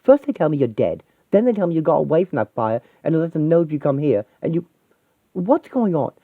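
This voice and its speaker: Shaken, scolding older woman played by a man, raised pitch, sweary and exasperated, narrow phone-like sound. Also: sing-song, a little fast, no room sound. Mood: shaken, demanding.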